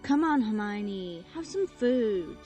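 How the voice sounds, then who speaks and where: A woman's voice: mid-range voice, big pitch swings, slow pace, dark tone, faint music behind. A woman playing an earnest, concerned young schoolboy in an audio drama.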